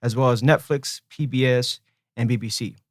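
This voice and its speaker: A man's voice: medium pitch, steady tone, normal speed, no room sound, clean studio sound. A man hosting an interview podcast, talking in a laid-back, friendly way with casual slang. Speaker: podcast host.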